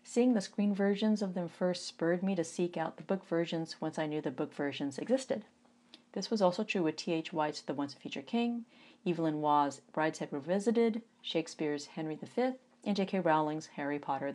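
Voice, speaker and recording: A woman in her forties reads from her own memoir in a relaxed, conversational way. Her voice sits on the low side, and she drops in wry asides and animated bursts of emphasis. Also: moderate pace. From United States. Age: 40s. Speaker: author.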